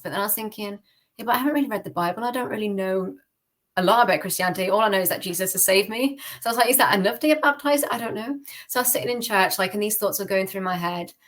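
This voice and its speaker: British female podcast guest, rapid informal delivery, personal storytelling. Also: mid-range voice, a bit bright. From United Kingdom. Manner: informal, frequent "like", acts out her own thoughts. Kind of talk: personal testimony in casual conversation.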